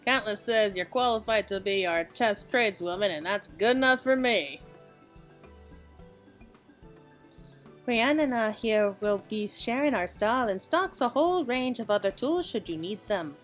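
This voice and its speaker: A woman's voice: medium pitch, animated, normal speed, few pauses, faint music behind, lightly acted. Woman voicing an upbeat, folksy fantasy craftswoman. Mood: matter-of-fact.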